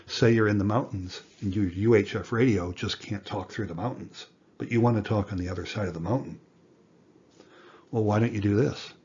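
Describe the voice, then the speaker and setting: A man giving an informal explanatory lesson as an instructor, speaking deliberately and emphatically with rhetorical questions. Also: lowish voice, a little mellow, slightly rough, lo-fi sound. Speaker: instructor, amateur radio teacher.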